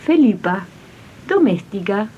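A woman's voice: big pitch swings, takes it slowly. A woman playing a modest, good-natured, bashful domestic worker with an Argentine accent in an amateur radio drama. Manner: colloquial, bashful. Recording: dull lo-fi sound, faint rumbling noise.

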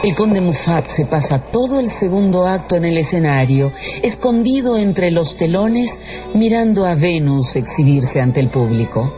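A man's voice: a little high, lively intonation, a little slow. A man giving dramatic scripted narration for a radio drama. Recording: dull lo-fi broadcast with music behind.

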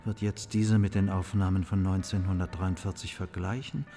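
An actor playing a formal public prosecutor, reporting findings officially and precisely in a radio docudrama. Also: male voice, low-pitched, level tone, normal speed, dark tone, soft background music, natural voice. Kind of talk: radio docudrama letter reading.

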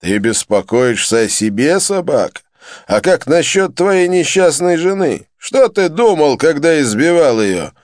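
A man's voice: fairly high pitch, sing-song, measured pace, no echo, studio quality, voice pushed up. A man doing a stern, scolding young man. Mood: stern anger. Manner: stern, forceful questioning.

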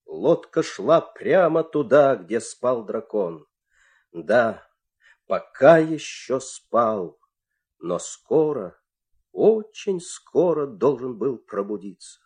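A man's voice: mid-range voice, very animated, slow pace, darkish tone. A man narrating a fairy tale for an audio play in a theatrical, suspenseful storytelling manner.

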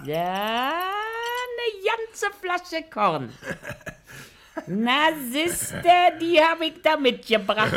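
A woman's voice: high-pitched, bright, forceful tone, exaggerated voice. An actress playing a scheming, bossy working-class wife and mother in a radio play, scolding and wheedling in German regional dialect. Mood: coaxing.

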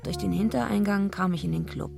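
A woman's voice: mid-range voice, brisk, vocal fry, over music. An actress playing a naive but tough young female wrestler in a radio drama, plain-spoken and slangy as she tells and acts out her story. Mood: wry, tense narration. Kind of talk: radio drama acting and first-person narration.

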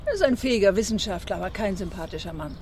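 A frank, gossipy male scientist in a radio drama. His voice is high for a man, with faint noise behind it. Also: animated, quick pace, darkish tone. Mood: frank, disapproving.

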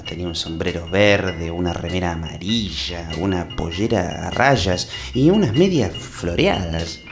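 Bumbling, pompous male detective played by a man, Argentine accent, comedy radio play, very lively pitch swings, faint music underneath. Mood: narrating, self-satisfied. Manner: pompous, absent-minded, exclaiming. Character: bumbling, self-satisfied male private detective, greedy for snacks.

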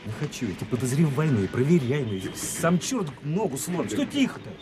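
An actor playing a frustrated, self-doubting young army officer in a radio play, agitated and halting as he complains. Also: a little high, sing-song, over music. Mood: sulky, fed up. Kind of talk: Soviet radio play acting.

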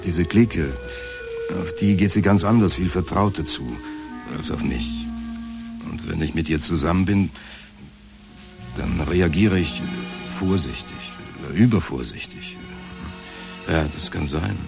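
An actor playing an insecure, downtrodden insurance clerk in a radio drama, talking in a halting, self-pitying way. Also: male voice, sits low, gravelly, music in the background. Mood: hesitant, aggrieved. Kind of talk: naturalistic radio drama acting.

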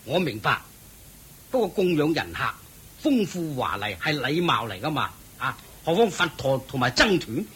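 An actor playing a stern, thrifty wealthy master of a household in a radio drama, commanding and lecturing. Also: male voice, on the high side, very animated, moderate pace, a bit bright, husky, heavily acted. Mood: calm. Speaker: head of household.

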